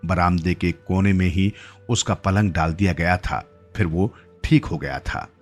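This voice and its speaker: Low-pitched male storyteller over music. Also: unhurried. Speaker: story narrator. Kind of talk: scripted literary story narration in Hindi.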